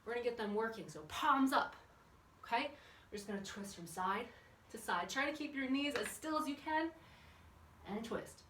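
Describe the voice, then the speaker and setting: A woman's voice: a little high, expressive, normal speed, long pauses, bright, forceful tone. A woman instructing a children's exercise class in a chatty, encouraging way, putting questions to the viewers as she goes. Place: indoor room with windows open. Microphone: camera at a distance. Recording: echoey room, distant microphone, background noise.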